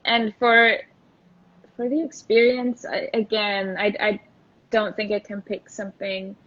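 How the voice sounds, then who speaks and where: A woman's voice: mid-range voice, little pitch movement, measured pace, a bit bright. A young woman from Austria, a guest in a casual interview chat, thoughtful and full of fillers and restarts. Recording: lo-fi video call audio.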